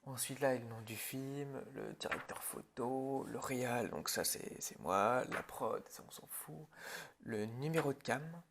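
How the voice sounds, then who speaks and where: A man plays a film director in an improvised ASMR roleplay. He talks slowly at an average pitch, with plenty of vocal fry. His delivery is informal and hesitant, often pausing mid-phrase, over a faint noisy background.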